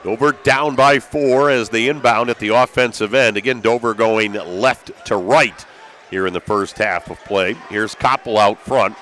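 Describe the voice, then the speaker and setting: A man's voice: fairly low pitch, a little slow, fairly bright tone. American man calling basketball over gym noise. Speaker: play-by-play sports announcer. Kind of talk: live basketball radio commentary. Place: courtside in a packed school gymnasium. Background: gym ambience, bouncing basketballs.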